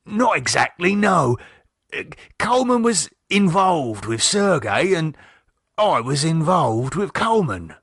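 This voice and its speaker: A man voicing an anxious working-class husband, speaking in nervous, halting, pleading bursts. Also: a little high, big pitch swings, fairly bright tone, husky, dry recording, studio quality, voice pushed up, heavily acted. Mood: worried.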